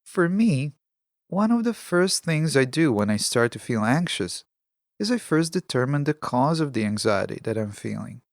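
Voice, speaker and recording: A man hosting a self-help podcast, speaking in a measured, deliberate and reflective monologue. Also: mid-range voice, big pitch swings, gravelly, no echo, clean studio sound. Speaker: podcast host.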